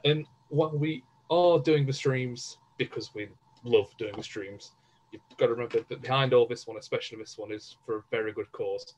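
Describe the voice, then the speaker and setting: A man's voice: medium pitch, moderate pace, darkish tone. A man hosting a casual livestream, speaking effusively as he gives thanks. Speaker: stream host. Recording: noisy background on webcam stream.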